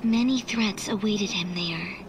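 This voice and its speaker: A woman voicing a cool, analytical artificial intelligence girl in a video game, speaking in a measured, formal, detached way. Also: medium pitch, background noise, close to an everyday voice. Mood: cool, informative.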